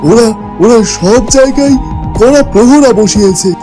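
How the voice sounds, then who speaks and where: A man's voice: very high-pitched, moderate pace, over music. A man voicing a servile, fearful henchman in a cartoon, deferential and apologetic to his master.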